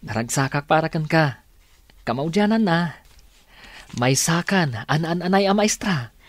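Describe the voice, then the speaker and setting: An actor playing a supportive, cheerful young husband in a Filipino radio drama, speaking warmly and encouragingly. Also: male voice, a little high, animated, measured pace, no room sound. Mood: cheerful, grateful. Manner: warm, reassuring, encouraging. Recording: dry studio, music underneath.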